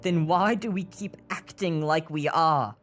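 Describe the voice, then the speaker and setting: A man's voice: fairly high pitch, a little slow, fairly bright tone. A man playing an earnest, guilt-ridden young street boy who leads reluctantly in an audio drama. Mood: guilty. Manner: earnest, troubled. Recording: music under the voice.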